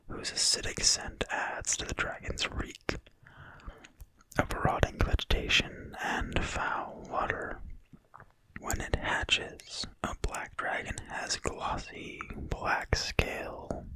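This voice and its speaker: A person reading aloud in a quiet, breathy whisper, slowly and soothingly, in an ASMR style. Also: rough recording. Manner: quiet, slow, deliberate, soothing delivery. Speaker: ASMR video creator and reader. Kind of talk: whispered ASMR reading of a fantasy game manual.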